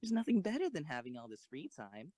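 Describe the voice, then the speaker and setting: An earnest teenage schoolgirl with swooping, lively pitch, played by a woman. She is excitable, in an anime-style audio drama recorded dry and close. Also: fast, dry recording. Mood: relieved, dreamy.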